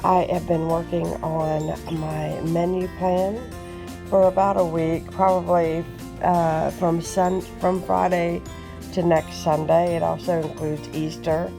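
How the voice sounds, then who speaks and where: A woman's voice: fairly low pitch, little pitch movement, takes it slowly, vocal fry, music in the background. Chatty Appalachian woman, froggy morning voice. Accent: Appalachian American. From West Virginia, USA. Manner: chatty, homey, rambling with fillers. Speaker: home vlogger. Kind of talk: casual chat to camera, grocery haul. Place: small bedroom indoors. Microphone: phone at arm's length.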